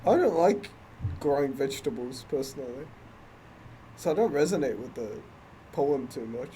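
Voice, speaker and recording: A young man in casual podcast chat, loud and skeptical, quick to push back. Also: mid-range voice, animated, slight background noise, poor audio. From Australia. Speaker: podcast host.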